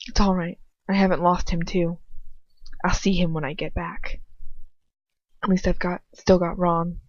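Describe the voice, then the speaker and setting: Amateur female story reader, lowish voice, informal and self-correcting, dry lo-fi recording. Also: level tone, measured pace, no echo. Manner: informal, stumbles and corrects herself. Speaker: amateur fan fiction reader. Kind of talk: audiobook-style story reading with casual asides.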